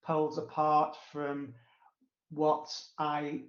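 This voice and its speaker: Slow, stuttering man in an echoey room. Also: on the high side, completely flat delivery. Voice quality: stutter with blocks, repetitions and drawn-out pauses. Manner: halting, frequent fillers. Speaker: interview guest. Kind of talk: casual personal recollection. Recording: roomy, echoey, dull sound.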